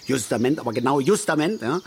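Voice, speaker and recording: A man's voice: medium pitch, lively intonation, fast talker, vocal fry. An actor playing a grumbling, opinionated middle-aged angler and father in a radio drama, lecturing and blustering with questioning tags. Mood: gleeful. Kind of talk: naturalistic radio drama dialogue. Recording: faint outdoor background noise.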